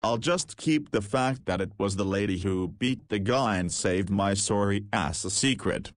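Man acting a slow, low-voiced cocky young guy. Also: no room sound, studio quality, natural voice. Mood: cocky, wry. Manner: rambling, wry, slangy. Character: cocky, crude nineteen-year-old young man, rambling to himself. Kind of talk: visual novel voice acting.

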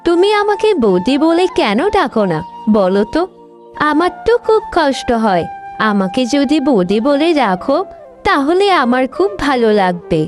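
A woman voicing a gentle, pleading young bride. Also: high-pitched, big pitch swings, normal speed, faint music behind. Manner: soft, pleading, polite. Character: gentle, patient young daughter-in-law.

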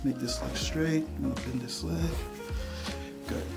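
A man's voice: fairly high pitch, unhurried, vocal fry, loud music behind the voice. A middle-aged man talking casually and reassuringly as a chiropractor while he treats a patient in a small room. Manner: reassuring, checking in often.

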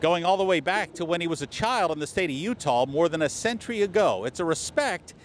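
Higher-voiced male news presenter outdoors. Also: animated, fairly quick. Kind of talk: scripted presenting to camera. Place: outdoors on a lawn in a town. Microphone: handheld reporter microphone. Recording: faint outdoor street noise.

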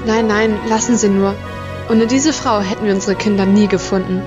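A woman's voice: mid-range voice, fairly even intonation, normal speed, lo-fi sound, lightly acted. Woman playing a reassuring mother over music. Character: relieved, reassuring mother of rescued children. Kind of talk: radio drama acting.

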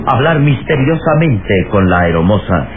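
A man's voice: fairly low pitch, sing-song, normal speed. A man narrating an adventure radio serial in a formal, dramatic, scripted style. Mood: suspenseful. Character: male storyteller of an adventure serial. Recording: noisy, tinny old radio recording.

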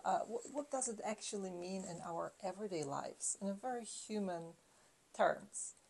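A woman's voice: mid-range voice, animated, normal speed, creaky, a little mellow. A woman asking conversational interview questions, hesitant and searching for words. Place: carpeted listening room, indoors.

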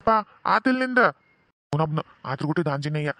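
A man's voice: fairly high pitch, lively intonation, brisk, bright, forceful tone, no room sound. A man playing a harried grown son who complains and apologizes. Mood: complaining.

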